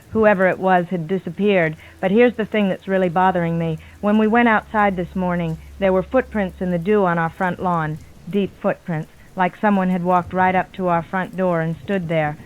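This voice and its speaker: Woman playing a rattled lady calling in to radio, tinny phone sound, slightly low, insistent. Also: fairly even intonation, moderate pace, hardly any pauses. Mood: anxious, resolute. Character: unnerved married woman, watchful eyewitness, resolved to flee. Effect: telephone line filter. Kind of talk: radio horror drama. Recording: tinny narrow phone line.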